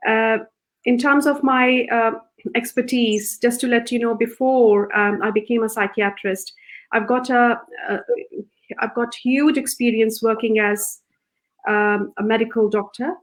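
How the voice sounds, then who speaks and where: A middle-aged woman from Pakistan, a psychiatrist guest, talking softly and gently with frequent hesitations. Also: fairly high pitch, fairly even intonation, a little slow, rough recording. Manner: soft, gentle voice with many hesitations. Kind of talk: interview answers.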